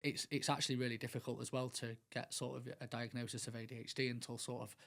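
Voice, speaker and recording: Gravelly-voiced man, flat delivery. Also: mid-range voice, fast talker. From England. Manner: earnest, rambling with many restarts and fillers. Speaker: guest, mental health speaker. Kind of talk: casual podcast interview.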